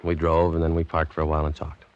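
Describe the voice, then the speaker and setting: An actor playing a cynical, tough American wartime agent with clipped, slangy, world-weary delivery in 1940s radio drama style. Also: male voice, a bass voice, completely flat delivery, fast talker, highs cut off. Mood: weary, matter-of-fact. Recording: noisy old 1940s radio recording.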